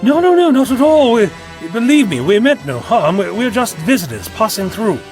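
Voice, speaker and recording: An actor playing a chatty, wry, inquisitive time-travelling adventurer in an audio drama, talkative and flippant. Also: male voice, high voice, very animated, normal speed, darkish tone, soft background music. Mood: alarmed, pleading.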